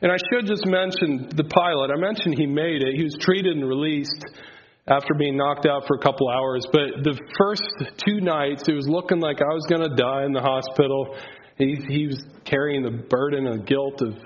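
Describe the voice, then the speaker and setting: A man's voice: fairly high pitch, normal speed. A man whose first language is Pennsylvania Dutch, giving a casual, rambling testimony before an audience with lots of filler words. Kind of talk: faith testimony talk. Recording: slightly dull, lo-fi sound.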